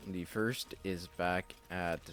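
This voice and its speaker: A man hosting a casual game play-through, talking in a loose, rambling way with a slightly raspy voice. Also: low-pitched, fairly even intonation, slow pace, fairly bright tone, faint music behind. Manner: loose and rambling, with many fillers. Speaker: host.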